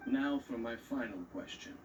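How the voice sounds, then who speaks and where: An actor playing a cool, enigmatic man in a video game, speaking in a measured, aloof and formal way. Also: medium pitch, background noise, close to an everyday voice. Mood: solemn, probing. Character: cool, enigmatic man demanding payment and answers. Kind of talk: scripted game dialogue.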